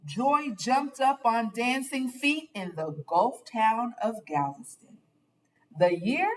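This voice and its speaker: A woman reading a children's picture book aloud with expressive, dramatic and deliberate phrasing. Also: mid-range voice, far from the mic, rough recording.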